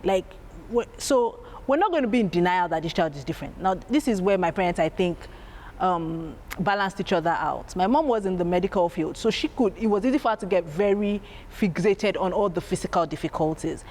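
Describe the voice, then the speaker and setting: A woman with a strong, loud voice, telling stories about her family as an interview guest, animated and laughing as she acts out her parents. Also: lowish voice, a little fast, a little hiss or hum. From Nigeria. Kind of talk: candid storytelling.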